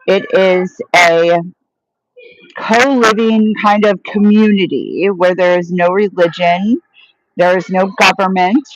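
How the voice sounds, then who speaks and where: A woman's voice: on the low side, fairly even intonation, takes it slowly, no room sound, rough recording. A woman chatting casually on a live stream, answering viewer questions with a rambling, friendly flow. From United States. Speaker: vlogger answering live chat.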